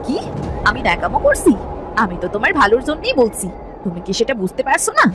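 A woman's voice: fairly high pitch, big pitch swings, quick pace. A woman voicing a callous, stingy sister-in-law in a cartoon drama, whining and accusatory. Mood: aggrieved. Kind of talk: Bengali cartoon family drama. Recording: music under the voice.

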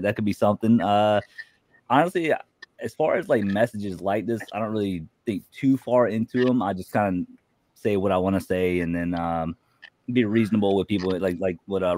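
Low-voiced, easygoing man chatting, close and dry. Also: darkish tone, no echo. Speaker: podcast guest. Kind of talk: casual chat.

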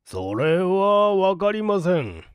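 A middle-aged gentleman as a man acts him explains himself with troubled courtesy in a mystery audiobook. The voice is fairly high and resonant, the delivery slow and formal, in a dry close recording. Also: sing-song, smooth, no echo, heavily acted. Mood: earnest, troubled.

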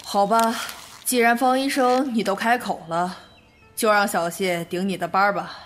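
An actress playing an older female hospital director, measured and sceptical, in an audio drama. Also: medium pitch, fairly even intonation, faint music behind, close to an everyday voice. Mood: doubtful, conceding.

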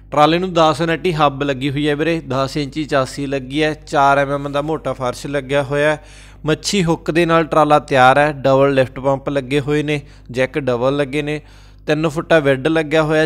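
A man with a Punjabi accent hosting a farm-machinery sales channel, briskly reading listings and repeating prices and phone numbers. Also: a little high, clear, resonant tone. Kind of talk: classified sales rundown voice-over. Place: voice-over, not recorded at the pictured sites.

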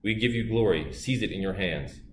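A man voicing a stern male god who gives measured, reassuring advice to a warrior. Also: fairly low pitch, lightly acted. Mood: counseling. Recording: roomy with faint hiss.

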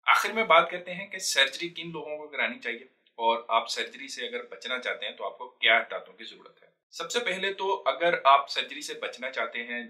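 A man in his thirties, a doctor, calmly explaining treatment to camera in a small indoor studio. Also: mid-range voice, low-quality recording. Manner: calm, steady, instructive.

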